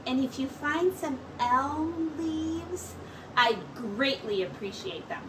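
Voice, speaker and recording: A noisy recording carries a woman acting as a gentle, earnest lady hat-maker from a storybook. She speaks slowly in a high voice, drawing her phrases out expressively. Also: animated, recorded from a distance. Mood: grateful.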